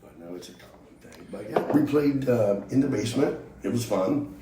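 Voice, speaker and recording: A man who plays bass, chatting as a podcast guest in a loud, joking way with some swearing. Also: animated, a crowd in the background. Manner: loud, jokey, talks fast and with swearing. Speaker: guest musician, bass player. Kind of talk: casual chat. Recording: roomy, off-mic.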